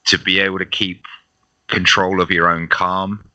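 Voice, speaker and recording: A man talks things through on a live stream, thoughtful and a little halting. His voice sits very low but has a sharp, bright edge. The delivery is relaxed and conversational. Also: highs cut off. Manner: reflective, explains with hesitations. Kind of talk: casual live-stream chat.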